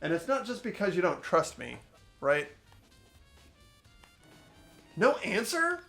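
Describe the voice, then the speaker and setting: A man's voice: high voice, wildly swooping pitch, slow, creaky, fairly bright tone, exaggerated voice. A man playing an earnest, mild-mannered teenage schoolboy in a game voice-over, hesitant and questioning. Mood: uneasy. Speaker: amateur voice actor. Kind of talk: fan voice-over of a visual novel game. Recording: game music under the voice.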